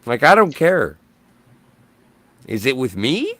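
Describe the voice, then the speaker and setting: A man's voice: medium pitch, sing-song, takes it slowly, bright, forceful tone, dry recording. A man hosting a casual panel chat, blunt and rambling, with a jokingly self-important streak. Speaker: show host.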